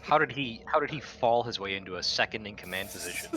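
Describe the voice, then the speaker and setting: A man's voice: fairly low pitch, animated, fast talker, bright, forceful tone. A man playing a tabletop roleplaying game, chatting casually in and out of character with a take-charge manner.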